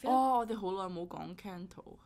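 Relaxed, chatty woman from Macau. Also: medium pitch, moderate pace, over loud music. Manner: relaxed, chatty, laughs often. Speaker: podcast co-host. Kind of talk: casual chat.

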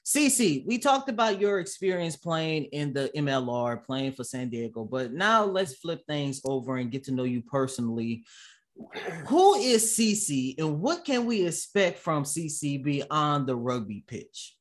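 A man's voice: fairly high pitch, very animated, a little slow. Man asking long, formal interview questions. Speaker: interviewer and show host. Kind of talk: sports interview podcast.

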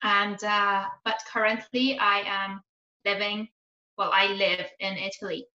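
A woman's voice: medium pitch, level tone, a little slow, sharp tone. A woman in her thirties from Iran, a podcast guest chatting casually with many hesitant ums. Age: 30s. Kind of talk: casual chat. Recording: roomy, dull lo-fi sound.

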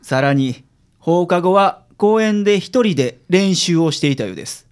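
A man with a Kansai accent acting a confident, frank private detective in a radio drama, explaining things in a measured way. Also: high voice, animated, clear, resonant tone. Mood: calm, formal. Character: confident, frank male private detective reporting calmly to a client. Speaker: amateur radio drama actor.

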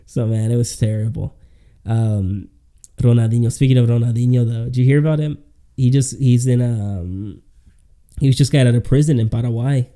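A man's voice: mid-range voice, fairly even intonation, moderate pace, warm tone. A man co-hosting a podcast, chatting casually in a slangy, rambling way. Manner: slangy, rambling, says "man" and "dude" often. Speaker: podcast co-host.

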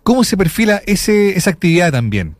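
A man's voice: sits high, very animated, fairly quick, no echo. A man with a Chilean accent hosting a live radio interview. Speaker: radio host.

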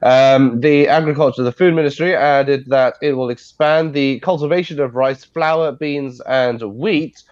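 A man's voice: mid-range voice, a little slow, hardly any pauses, no echo. Congested man on a dull video call. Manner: steady explanatory delivery with frequent uh fillers. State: congested, stuffy nose. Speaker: news correspondent. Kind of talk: news briefing over a video call.

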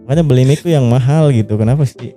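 A man's voice: medium pitch, quick pace, warm tone. A young man from Java hosting a podcast in casual slang, teasing, joking and swearing freely. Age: young adult. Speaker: podcast host. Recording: music playing behind the voice. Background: faint music.

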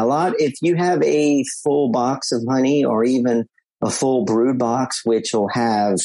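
Husky-voiced man, easygoing. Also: mid-range voice, dark tone, no room sound, poor audio. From South Louisiana. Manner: easygoing, repeats words while thinking. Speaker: podcast guest, beekeeper. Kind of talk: casual interview chat.

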